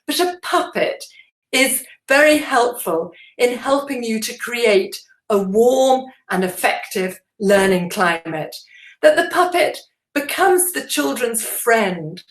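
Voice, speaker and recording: A woman giving an instructional presentation to teachers as a trainer, explaining in a measured way with deliberate emphasis. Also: fairly high pitch, lively intonation, echoey room.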